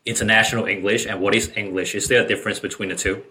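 A man's voice: lowish voice, steady tone, rapid-fire, bright, forceful tone, breathy edge. A man hosting a podcast, who reads a scripted welcome and then chats casually with his guest, laughing often. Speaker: podcast host.

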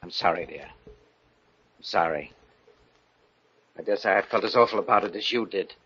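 An actor playing an anguished, newly married professor in halting, theatrical old radio thriller style, on a noisy vintage recording. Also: male voice, deep voice, fairly bright tone, dull audio. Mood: haunted, regretful. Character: anguished new bridegroom, professor haunted by dark urges. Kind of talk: 1940s radio thriller acting.

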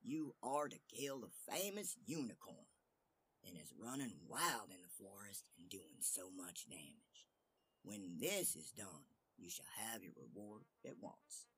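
A man's voice: lowish voice, very animated, a little slow, a bit bright, slightly rough, full character voice. A man voicing a commanding old king in a storybook tale, with an imperious, declaratory delivery.

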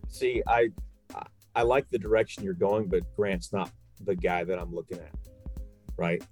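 A man's voice: deep voice, steady tone, moderate pace, darkish tone, soft background music. A man in a casual sports podcast, arguing matter-of-factly with rhetorical questions.

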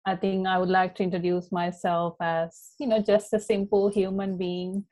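A woman's voice: on the low side, level tone, moderate pace. Earnest young woman from Sri Lanka. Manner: warm, earnest, many ums and you-knows. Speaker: podcast guest, life coach. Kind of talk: casual interview talk.

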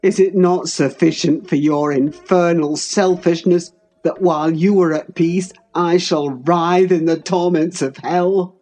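A woman reading an audiobook, voicing a grown man in despair who speaks in a lowered, harsh, pleading way. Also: steady tone, a little slow, dark tone, faint music behind. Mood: savage.

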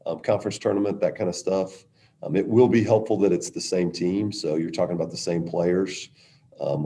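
A middle-aged man, a women's basketball coach, answering press questions in a halting way with many restarts. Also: low voice, mellow.

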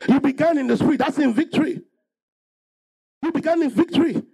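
Guy preaching a fired up sermon, really high pitched raspy creaky voice, repeats his lines for emphasis. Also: fairly quick, warm tone. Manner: emphatic, declarative, repeating phrases for effect.

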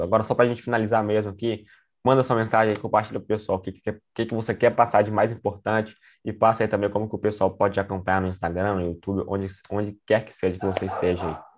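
A man hosting a podcast, chatting informally and briskly with his guest and signing off to listeners. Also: lowish voice, level tone. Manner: brisk, informal, sign-off phrases. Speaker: podcast host. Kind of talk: casual interview chat.

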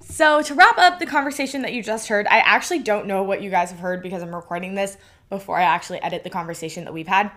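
A woman's voice: medium pitch, fast, a bit bright. Woman, podcast host, bubbly, laughs often, casual chat.

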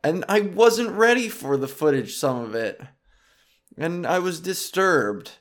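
A man's voice: fairly high pitch, expressive, a little slow. Rambling, swearing podcaster in his thirties. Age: 30s. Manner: rambling, self-deprecating, profane, jumps between tangents. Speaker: podcast host. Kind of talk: casual comedic podcast monologue.

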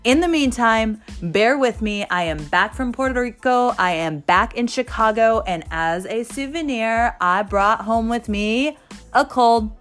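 A woman with a cold, her voice raspy and her nose stuffed, hosting a solo podcast and pausing for breath as she talks. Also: fairly high pitch, a bit bright, soft background music. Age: 20s. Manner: pauses to catch breath. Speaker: podcast host.

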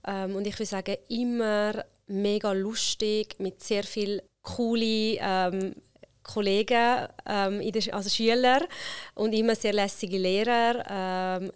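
Chatty Swiss German woman, smooth voice. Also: mid-range voice. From Switzerland. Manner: chatty, many fillers, laughs often. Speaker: interview guest, HR consultant. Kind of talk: casual interview chat.

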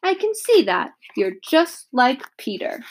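A woman's voice: high voice, big pitch swings, slow, slight background noise, pitched above the performer's own voice, exaggerated voice. A woman voicing a genial, playful male dentist who teases a small patient, in a children's story reading. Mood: jovial. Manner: jovial, teasing.

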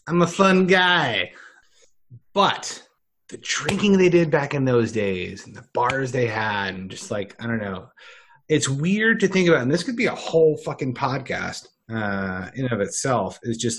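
A man's voice: medium pitch, a bit bright. A man chatting on a podcast, rambling off on tangents and swearing casually. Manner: rambling, profane, tangent-prone. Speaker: podcast host.

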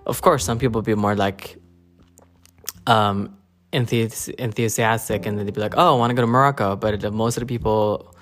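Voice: mid-range voice, steady tone, brisk, fairly bright tone. A podcast host speaking casually and unscripted in a hesitant, rambling, informal way.

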